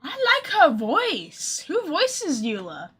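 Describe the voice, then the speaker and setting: A woman's voice: high voice, huge pitch swings, slow, a bit bright, faint music behind. A chatty woman giving casual, joking, sweary live commentary as she streams a game.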